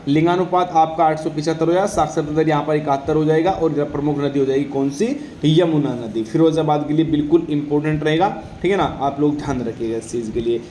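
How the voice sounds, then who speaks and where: A man's voice: fairly high pitch, mellow. A man teaching exam material informally, often repeating questions and answers and checking that students follow. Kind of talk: informal lecture on exam general knowledge. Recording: echoey room with faint background noise.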